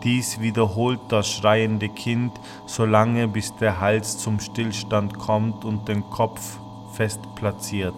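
A man reads literary prose aloud in a low voice, taking his time with a measured, declamatory delivery. It is a spoken-word piece, and music plays clearly behind him. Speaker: reader of literary texts.

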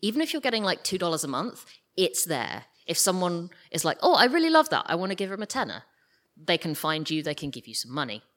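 A woman's voice: fairly low pitch, huge pitch swings, quick pace, fairly bright tone. A British woman speaking informally and gushingly as an interview guest, telling casual anecdotes and acting out bits of imagined dialogue. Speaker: guest, audio drama creator. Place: live podcast festival stage with audience.

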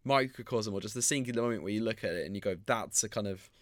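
A man's voice: lowish voice, fast, no room sound, studio quality. A man co-hosting a casual football chat show, talking loosely with lots of "kind of" and "you know".

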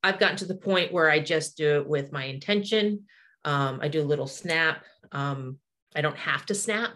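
A woman in her forties with a distinctly low voice speaks in casual conversation. She explains things as a practising energy healer would to someone across from her. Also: moderate pace, bright, forceful tone. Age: 40s.

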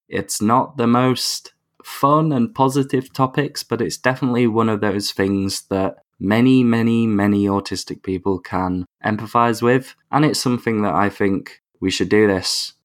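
A man hosting a podcast in a casual, jokey way, sometimes stumbling over his words. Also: on the low side. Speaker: podcast host.

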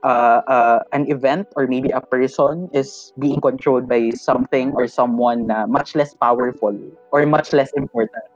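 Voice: mid-range voice, fairly even intonation, normal speed, dull audio, soft background music. A podcast co-host with a Filipino accent who talks casually, laughs often and mixes Tagalog and English with lots of fillers. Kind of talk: casual chat.

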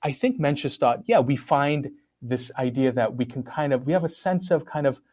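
A man who grew up in Korea and speaks Korean as his first language talks through philosophical ideas in a conversational way. He pauses often, restarts his sentences and fills the gaps with ums and likes. His voice sits in an ordinary middle register. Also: mid-range voice, animated, darkish tone. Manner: thoughtful, many fillers and restarts. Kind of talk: casual academic discussion.

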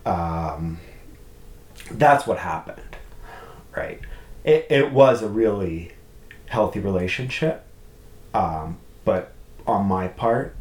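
A man's voice: sits low, roomy sound. A man speaking candidly as a podcast guest about his past relationship, reflective and open, often ending his points with a questioning "right?". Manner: open, reflective, many restarts and "right?" tags. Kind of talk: candid conversational chat.

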